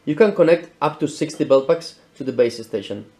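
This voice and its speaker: A man's voice: a little high, expressive, a little fast, darkish tone. Guy from spain explaining gear step by step, careful scripted delivery, showroom, mic a bit off. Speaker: product presenter. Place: indoor showroom with equipment.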